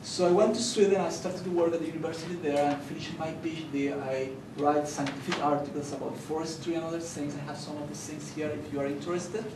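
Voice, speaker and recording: A man's voice: on the high side, steady tone. A young man from Chile with a Chilean Spanish accent, speaking earnestly as a conference panelist and restarting his sentences often. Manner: earnest, halting, with many fillers and restarts. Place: conference hall. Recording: echoey hall, distant mic, noisy.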